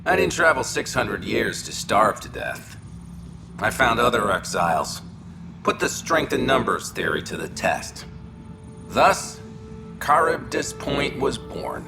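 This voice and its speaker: An actor playing a gruff, wry exile who runs a rough outpost inn in a science fiction video game. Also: male voice, medium pitch, very animated, slow pace, over music, exaggerated voice. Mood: resigned, proud.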